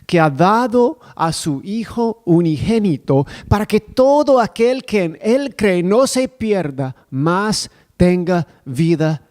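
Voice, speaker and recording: A man preaching a sermon in Spanish, which is not his first language, speaking carefully with pauses and restarts and praying aloud. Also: darkish tone. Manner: raises pitch in a preaching tone when reading scripture.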